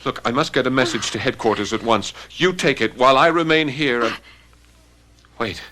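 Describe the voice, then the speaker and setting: A man's voice: mid-range voice, moderate pace, bright, forceful tone, slight background noise, close to an everyday voice. A man acting in a radio drama as a jealous, ambitious young gendarme, earnest and dramatic in delivery. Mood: horrified, urgent.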